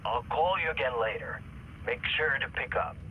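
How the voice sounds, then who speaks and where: An actor playing a secretive, paranoid caller in a video game, cryptic and insistent over a telephone. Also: male voice, mid-range voice, moderate pace. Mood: urgent. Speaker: mysterious caller. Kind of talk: video game acting, urgent phone dialogue. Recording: noisy crackling phone call.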